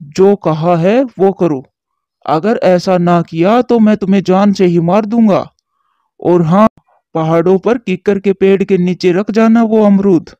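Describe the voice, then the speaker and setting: A man's voice: high-pitched, warm tone, no echo, dull audio. A man playing Kalu, a scheming crow villain. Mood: menacing. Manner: menacing, slow threats over the phone. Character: scheming male crow villain, threatening bully.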